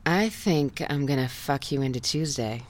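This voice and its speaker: A woman's voice: rumbling low, lively intonation, normal speed. A female actor in a satirical audio drama, playing a frank, amorous woman who murmurs intimately and asks probing questions. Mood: taken aback, wry.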